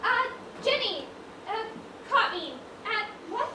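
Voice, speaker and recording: High-voiced, brisk shopkeeper lady played by a woman, far off. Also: big pitch swings, full character voice. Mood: flustered. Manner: scolding, emphatic, formal. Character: brisk, exasperated, proper lady dress shop proprietor. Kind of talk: amateur stage play acting. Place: large indoor performance space, far from mic. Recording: distant, echoey stage recording with loud noise.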